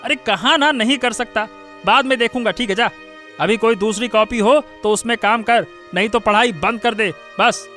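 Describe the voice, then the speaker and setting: A man plays a harsh village father in a cartoon. His voice is very high-pitched and sharp, blunt and scolding, over faint music. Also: normal speed. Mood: angry. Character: harsh, dismissive, hard-drinking village father. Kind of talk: Hindi cartoon story voice acting.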